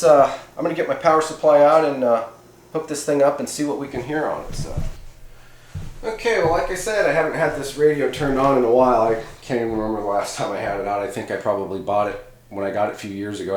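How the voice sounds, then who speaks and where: A man's voice: medium pitch, fast, slight background noise. A man giving a casual, rambling, off-the-cuff product review in a laid-back, colloquial way. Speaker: host of CB radio review videos. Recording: echoey room sound.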